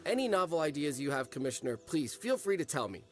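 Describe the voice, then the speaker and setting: A man's voice: fairly high pitch, very animated, quick pace, faint music behind. An actor playing an eager, earnest young man who runs a drink stall in a video game.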